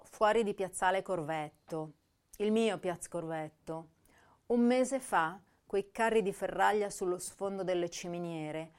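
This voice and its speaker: Actress as a grave, low-voiced young woman poet. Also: lightly acted. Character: young female poet, hypersensitive, intense, grave and melancholy. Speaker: actress reciting poetry and prose. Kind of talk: dramatic literary recitation.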